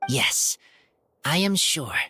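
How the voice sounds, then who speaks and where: A woman voicing an earnest, quiet teenage boy raised by wolves in a video game, speaking in terse, halting, simple phrases. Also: deep voice, expressive, noisy background.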